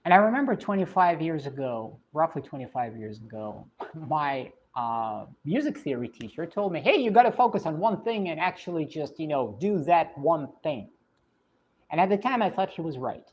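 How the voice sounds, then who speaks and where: A woman with a low, deep voice speaks with a Russian accent softened by British English. She answers viewer questions in a casual livestream, thinking aloud with frequent pauses and restarts. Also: big pitch swings, lo-fi sound. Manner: thoughtful, hesitant, many fillers and restarts.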